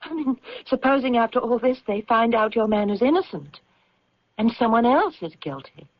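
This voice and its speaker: Sensible woman, highish voice, animated, cautioning, old radio mystery, dull recording. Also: normal speed. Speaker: actor in a radio mystery drama. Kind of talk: old-time radio drama acting.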